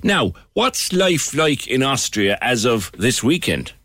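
A man's voice: mid-range voice, sing-song, slow pace, a bit bright, no room sound, clean studio sound. An Irish man hosting a current affairs radio phone-in, chatty and probing, repeating phrases for emphasis.

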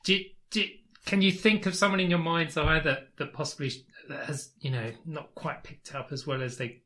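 Bright-voiced man hosting a podcast. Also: fairly high pitch, steady tone, moderate pace. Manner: laughs often, stammers through questions. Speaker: podcast host. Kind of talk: casual interview.

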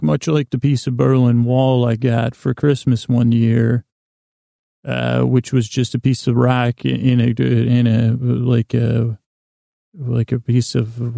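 A man hosting a podcast, rambling through a bedtime story monologue in a gravelly voice, halting and stumbling over his words as he meanders. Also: medium pitch, dark tone, dry recording, clean studio sound. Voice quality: gravelly, creaky voice. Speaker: podcast host.

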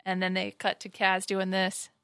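Bright-voiced woman podcast co-host. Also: medium pitch, completely flat delivery, normal speed, clear, resonant tone, no room sound, studio quality. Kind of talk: casual fan podcast chat.

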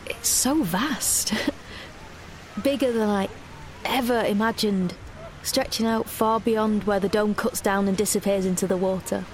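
A woman's voice: mid-range voice, steady tone, measured pace, slight background noise, close to an everyday voice. A woman playing a wary, searching young woman who narrates her own story in an audio drama. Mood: awed, hopeful.

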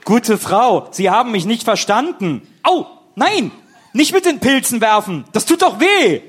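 A man's voice: very high voice, very animated, a little slow, a bit bright, husky, exaggerated voice. A man playing an eager, theatrical boy detective in a comedic audio play. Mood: plaintive.

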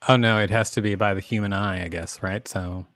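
A man's voice: lowish voice, steady tone, a little fast, a bit bright, no room sound, clean studio sound. A man co-hosting a podcast, reading reference text aloud with casual remarks thrown in. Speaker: podcast co-host. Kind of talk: reading an encyclopedia article aloud with casual asides.

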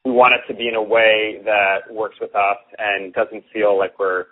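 A man's voice: fairly low pitch, level tone, moderate pace. A man guest who coaches on copywriting, explaining earnestly and often repeating words as he starts sentences. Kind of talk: casual interview chat. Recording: tinny phone-like line.

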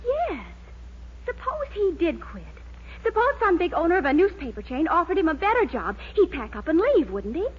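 A scheming, take-charge young girlfriend in a 1940s radio comedy. Her voice is very high and lively, on a muffled old recording. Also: moderate pace. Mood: concerned, plotting. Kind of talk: 1940s radio sitcom acting.

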